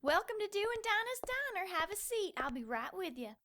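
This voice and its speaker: An actress playing a chatty small-town diner waitress, friendly and gossipy. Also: female voice, very high voice, sing-song, brisk, sharp tone, a little hiss or hum, full character voice.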